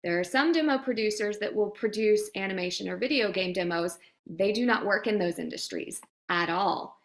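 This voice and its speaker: A woman's voice: medium pitch, moderate pace. A woman guest speaking as a voice demo producer, giving casual advice in an interview chat and acting out imagined reactions.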